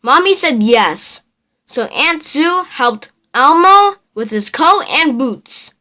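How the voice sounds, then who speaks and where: A woman reading a children's storybook aloud in an expressive, deliberate storytelling manner. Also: sits high. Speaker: children's book reader. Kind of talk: storybook read aloud for kids.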